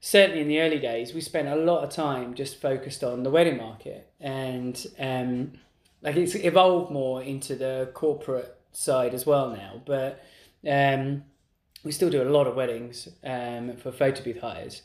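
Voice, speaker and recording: A man chats casually as a podcast co-host, his voice pitched in a middle range. He talks in an animated way. The room adds a clear, roomy echo. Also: unhurried. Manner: animated, acts out quoted voices. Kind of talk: casual conversational chat.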